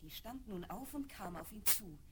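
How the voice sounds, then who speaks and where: A woman's voice: medium pitch, expressive, normal speed, noisy background. A woman giving scripted storybook narration for a children's fairy-tale audio play. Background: music.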